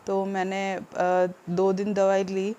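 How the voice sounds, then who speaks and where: A woman from India, ill with a sore throat, talking to her viewers in a hesitant, subdued way with many pauses and fillers. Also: medium pitch, monotone, dark tone, highs cut off, a little hiss or hum. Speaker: vlogger. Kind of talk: casual vlog update to viewers.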